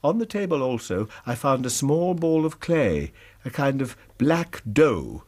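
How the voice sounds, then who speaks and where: An actor playing an anxious, proper British college lecturer in a radio drama, speaking formally and precisely. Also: male voice, medium pitch, big pitch swings, measured pace, a little mellow.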